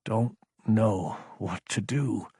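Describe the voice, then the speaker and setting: A man's voice: medium pitch, a little fast, a little mellow, slightly rough, no echo, clean studio sound. A man voicing an intense, anguished young military officer in an audiobook, insubordinate and emphatic. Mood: frustrated, helpless. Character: young male military officer, fierce, anguished, self-doubting. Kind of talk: audiobook character acting.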